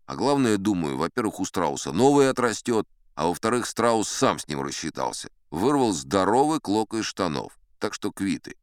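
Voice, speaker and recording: Old sea captain, audiobook storyteller, low register, very varied intonation, self-important, wry, conversational. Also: male voice, sing-song, moderate pace, few pauses, clear, resonant tone, dry recording, clean studio sound. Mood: animated, amused. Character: boastful old sea captain spinning tall adventure tales. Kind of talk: dramatized audiobook narration.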